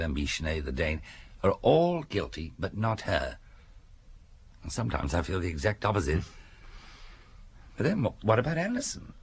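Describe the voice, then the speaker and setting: Husky, earnest police sergeant. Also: male voice, deep voice, heavily acted. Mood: puzzled, musing. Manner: matter-of-fact, conversational, musing. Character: earnest, puzzled male police subordinate reporting to chief. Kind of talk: radio drama acting.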